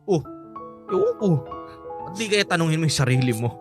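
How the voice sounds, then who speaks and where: A man's voice: fairly high pitch, big pitch swings, fairly quick, music in the background. A young man with a Filipino accent acting an evasive, teasing teenage best friend in a radio drama.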